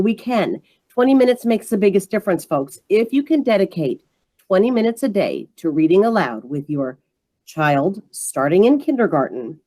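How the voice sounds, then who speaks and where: A woman's voice: on the low side, warm tone. A woman presenting an interactive online workshop for parents in an encouraging, emphatic way.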